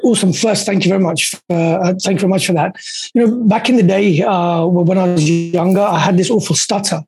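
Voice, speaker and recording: A man with a husky voice, a podcast guest telling his story in a quick, earnest way and often repeating words. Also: high voice, fairly even intonation, darkish tone, faint music behind. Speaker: podcast guest, dentist and coach. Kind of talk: candid storytelling.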